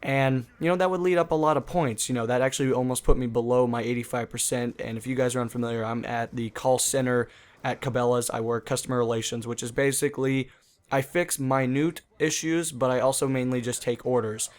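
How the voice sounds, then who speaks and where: A man's voice: medium pitch, fairly even intonation, fairly quick. A young man who hosts a gaming channel and talks casually over gameplay. He rambles in a chatty way, full of fillers.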